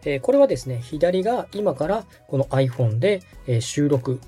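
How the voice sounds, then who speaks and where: A man's voice: a little high, very animated, normal speed, mellow, slightly rough, soft background music. A man hosting a podcast as a polite instructor, explaining things step by step. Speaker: podcast host and radio director, giving instructions. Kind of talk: step-by-step app tutorial over background music.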